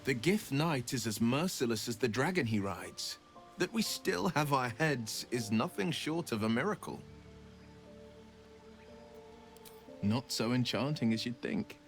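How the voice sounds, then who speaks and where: An actor playing a noble, earnest monster hunter in a video game, speaking in a measured, gallant storytelling way. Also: male voice, mid-range voice, lively intonation, soft background music, lightly acted. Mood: grave. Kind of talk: video game character dialogue.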